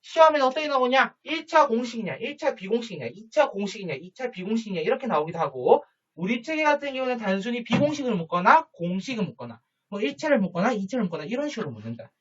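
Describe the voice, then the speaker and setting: A man teaching a high school social studies lesson, chatty, with jokey asides and quick questions to his students. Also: sing-song. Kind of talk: online lecture to students. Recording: dull lo-fi recording.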